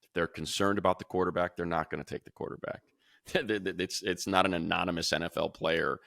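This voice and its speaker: A man with a very low, gravelly voice and a sharp, bright edge talks football from a room at home. He rambles through restarts and grows animated when he compares players. The sound is dry and close. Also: fairly quick, dry recording. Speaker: radio play-by-play announcer. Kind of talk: casual sports podcast chat.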